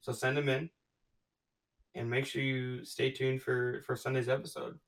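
An excitable man hosts a casual sports chat, gushing over his picks and tripping into restarts as he talks. His voice sits in a middle range. He sounds set back a little from the microphone. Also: medium pitch, moderate pace, far from the mic. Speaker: podcast host.

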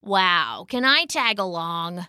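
A woman voicing a bustling bumblebee in a storybook, slow and a little weary, with buzzing sounds. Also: fairly low pitch, big pitch swings, pushed and bright, clear, resonant tone, dry recording, studio quality, voice pushed down, heavily acted. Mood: friendly. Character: bustling bumblebee troubled by factory smoke.

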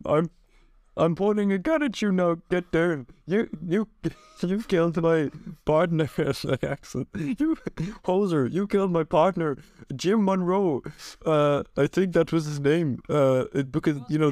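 A man's voice: on the high side, normal speed, darkish tone, no echo, studio quality, lightly acted. A man playing a hesitant, rambling undercover Canadian cop in an improvised comedy sketch. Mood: bumbling authority. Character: bumbling, apologetic undercover Canadian cop posing as a new guy.

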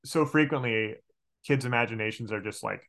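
A young man in his late twenties speaks as a podcast guest at an average male pitch. He chats casually and with animation, often halting and repeating a word as he works through an explanation. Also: fairly bright tone. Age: late 20s. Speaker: podcast guest, game master. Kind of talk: casual chat.

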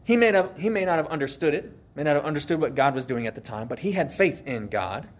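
A man's voice: a little high, expressive, quick pace. A man preaching an earnest, emphatic sermon, asking the listeners questions as he goes.

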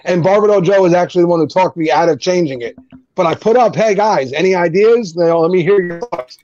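A man's voice: sits high, a little mellow, highs cut off. Joking New Jersey man on a call. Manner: joking, laughs often, quick back-and-forth. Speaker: co-host. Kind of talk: casual group chat on a video stream.

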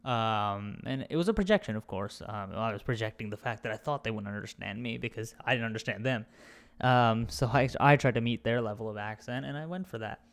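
A man's voice: mid-range voice, a little fast, clean tone. A young man from India with a mixed British, Australian and American accent, speaking as a podcast guest in a candid, reflective way. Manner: reflective, candid, searching for words. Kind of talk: casual conversational interview.

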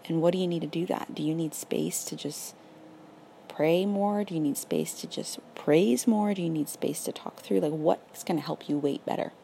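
A raspy young woman hosting a casual faith podcast, earnest and encouraging, full of "like" and "literally". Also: low voice, normal speed, warm tone, slight background noise. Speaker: podcast host.

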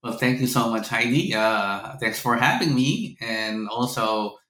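The recording is noticeably roomy and echoey. A middle-aged man with a Filipino accent speaks at an average pitch. He is a professor recounting personal memories in a conversational interview style. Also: lively intonation, a little slow, sharp tone. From Philippines. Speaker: seminary professor, podcast guest.

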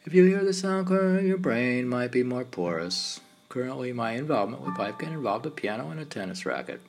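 A man of medium pitch recites his poetry. He speaks in meditative, declarative phrases broken by pauses. Music plays clearly behind him. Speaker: poet reading own work. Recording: music playing under the voice. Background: background music.

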